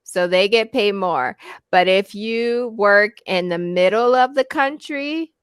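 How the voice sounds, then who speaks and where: A woman's voice: medium pitch, smooth, no echo, studio quality. An American woman tutoring English over a video call, friendly and given to easy laughter. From United States. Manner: slow, friendly, laughs often. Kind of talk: casual video-call conversation.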